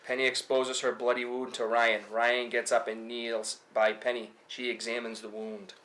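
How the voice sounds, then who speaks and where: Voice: medium pitch, little pitch movement, moderate pace, a bit bright, rough recording. A reader gives scripted stage directions at a table read. The delivery is screenplay narration, describing action between lines of dialogue.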